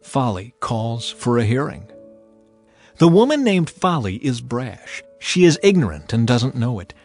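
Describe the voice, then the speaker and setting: A man narrating dramatized scripture in a solemn, deliberate storytelling manner. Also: medium pitch, big pitch swings, a little mellow. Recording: music behind the voice. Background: music.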